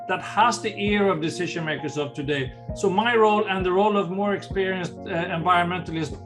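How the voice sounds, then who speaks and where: An older man with a Swedish accent and a high-pitched voice talks earnestly in an interview. He explains things patiently and sometimes restarts his phrases. Soft music plays underneath. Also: brisk. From Sweden. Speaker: ocean conservation leader. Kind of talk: interview answers.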